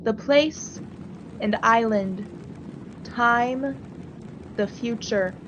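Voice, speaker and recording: A woman announcing a radio drama in a formal, measured, theatrical manner. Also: on the high side, a bit bright, a little hiss or hum. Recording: slightly muffled radio-style recording.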